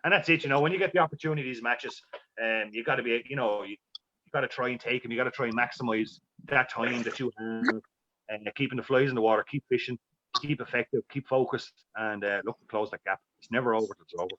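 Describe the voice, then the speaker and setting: Fast-talking man commentating fly fishing. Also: mid-range voice, fairly bright tone, muffled recording, slight background noise. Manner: rambling, many fillers and restarts. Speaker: expert co-commentator.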